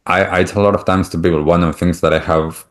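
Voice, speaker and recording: A man's voice: sits low, little pitch movement, fast. A middle-aged man with an Argentinian accent, a native Spanish speaker, talking reflectively and at length as a podcast host. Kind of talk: reflective conversational interview talk.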